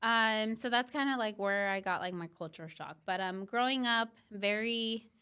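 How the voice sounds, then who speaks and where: Smooth-voiced young woman. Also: mid-range voice, moderate pace, pushed and bright. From Mexico. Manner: reflective, many fillers like "um" and "like". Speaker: podcast guest, business founder. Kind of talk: casual podcast interview.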